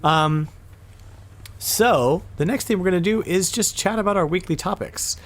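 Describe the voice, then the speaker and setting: A man's voice: high voice, moderate pace. A man hosting a podcast, chatting casually and informally about retro video games. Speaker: podcast host.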